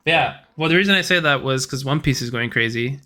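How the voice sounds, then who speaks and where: A man's voice: medium pitch, fast talker, bright, forceful tone. A man co-hosting a casual podcast chat, laid-back and rambling, with a faint Puerto Rican accent and a habit of swearing. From United States. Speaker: podcast co-host.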